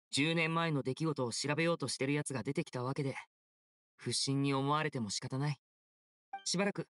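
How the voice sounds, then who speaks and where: A man playing a polite, gentle, self-possessed young stage magician in an anime game, courteous and reassuring. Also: on the high side, no echo, studio quality, lightly acted. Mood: calm, reflective.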